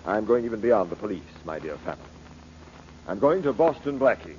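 An actor playing a bitter, commanding rich uncle in an old-time radio drama, with cold sardonic delivery. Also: male voice, lowish voice, big pitch swings, fairly quick, loud music behind the voice. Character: rich, bitter, imperious uncle taunting his heirs. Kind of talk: 1940s radio acting. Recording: muffled, hissy old radio recording.

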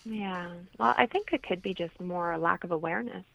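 A woman's voice: lowish voice, brisk, vocal fry, fairly bright tone. A woman from Canada speaking as an interview guest, explaining things as a holistic health practitioner on slightly dull radio audio.

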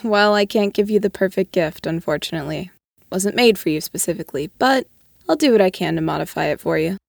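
An actress playing a practical, kindly doctor in an audio drama. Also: female voice, on the low side, fairly quick, gravelly, no room sound, clean studio sound.

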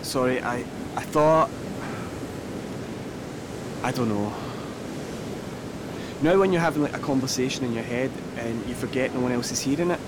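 An actor in a naturalistic audio play, playing an agitated, awkward renter who talks in halting, sweary, apologetic bursts. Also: male voice, natural voice. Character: agitated, fed-up young male renter, awkward and ranting. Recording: clear rumbling noise behind voice.

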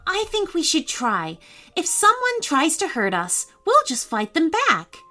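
Mary, brave eager little girl, as a woman reads her, high voice, slow, music faint behind. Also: huge pitch swings, bright, forceful tone, full character voice. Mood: determined.

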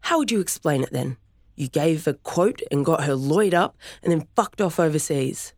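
A woman's voice: low voice, animated, moderate pace, a little mellow, dry recording, clean studio sound. A woman reading as Storm, a furious young brother. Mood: angry, accusing. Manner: heated, accusing.

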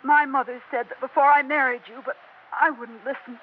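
An actress playing an anxious older wife and mother in a 1960s radio drama, slow and pleading. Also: high-pitched, fairly even intonation, a little hiss or hum, lightly acted. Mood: bitter. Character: anxious elderly widow Agatha, bitter yet pleading. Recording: dull vintage radio recording.

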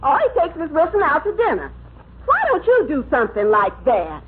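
An actress playing a romantic, dissatisfied, nagging wife in an old radio sitcom, plaintive and theatrical. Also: female voice, sits high, huge pitch swings, fairly quick. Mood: hinting, nagging. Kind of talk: 1940s-50s radio sitcom acting. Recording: tinny old radio recording, hiss.

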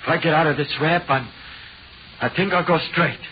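A man's voice: on the high side, fairly quick, close to an everyday voice. A man acting in a 1940s radio horror play as a frightened, pleading prisoner, nervous and slangy, haunted in his cell. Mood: anxious. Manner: nervous, pleading, slangy tough-guy speech. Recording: muffled, hissy 1940s radio recording.

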